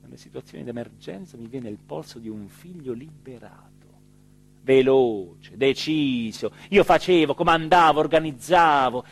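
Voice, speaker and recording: An actor playing an excitable small-town mayor in a theatrical radio monologue, with an emphatic delivery that swings from hushed to shouted. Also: male voice, mid-range voice, normal speed, close to an everyday voice. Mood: proud, brisk. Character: excitable small-town Italian mayor recounting his dream and a night emergency.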